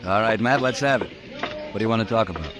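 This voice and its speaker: An actor playing a brash, stubborn cattle boss at a card table in an old radio western, blunt and defiant. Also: male voice, on the low side, expressive, fast talker, background noise, natural voice. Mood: irritated, defiant. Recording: vintage radio recording, faint music.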